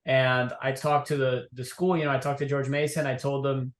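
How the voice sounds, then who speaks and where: Young male guest from Miami, mid pitch, relaxed storyteller, roomy echo. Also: normal speed. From Miami, USA. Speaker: entrepreneur guest. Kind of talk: casual interview.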